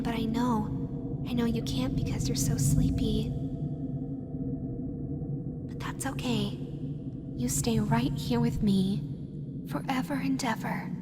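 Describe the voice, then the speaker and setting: A woman's voice: fairly high pitch, lightly acted. A woman voicing a lonely, possessive animatronic fox with a childlike, sweetly sinister manner, dreamy and lingering, in an audio roleplay. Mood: soothing, sinister. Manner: dreamy, lingering, repeats words softly. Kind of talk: scripted audio roleplay addressed to the listener. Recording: long hall-like reverb, music underneath. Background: background music.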